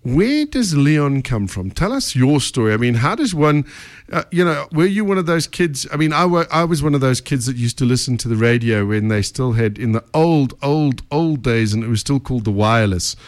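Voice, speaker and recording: A man's voice: medium pitch, lively intonation, moderate pace, hardly any pauses. A middle-aged man hosting an interview, asking rambling questions in a jokey, chatty way. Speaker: host, radio presenter. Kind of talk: casual interview talk.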